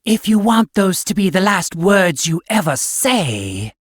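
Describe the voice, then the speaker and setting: A sly serpent woman of fantasy audiobook fiction, acted by a man, speaks high above a normal male voice. She stretches her words with smooth, threatening politeness. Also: very animated, a little slow, dry recording, studio quality, heavily acted. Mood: silky menace. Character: female snake-woman naga, sly, menacing mother.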